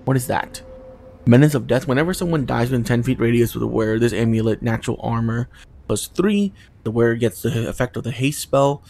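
A man's voice: mid-range voice, fairly quick, gravelly, a little mellow. A man casually commenting on his video game run, with chatty and exasperated asides. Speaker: gaming channel host.

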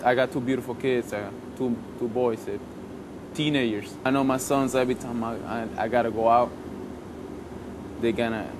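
A man in his thirties with a Puerto Rican Spanish accent talks in an enthusiastic, repetitive way. His pitch sits mid-range. Noticeable noise runs behind him. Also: steady tone, moderate pace. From Puerto Rico. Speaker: soldier interviewee. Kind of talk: casual interview. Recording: noisy field recording.

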